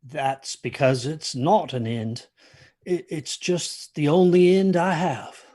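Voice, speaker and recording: A haunted grandfather tells a dark tale in a fairly high, husky voice. He speaks haltingly and intensely, like someone on stage reliving his youth. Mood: weary, evasive. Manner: halting, intense, repeats himself. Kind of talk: dramatic storytelling.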